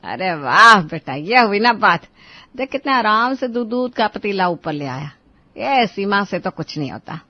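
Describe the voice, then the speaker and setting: A woman voicing a bossy grandmother who coaxes and scolds in an animated moral story. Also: mid-range voice, measured pace, dry recording, dull audio, pitched below the performer's own voice. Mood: approving. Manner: coaxing and scolding by turns.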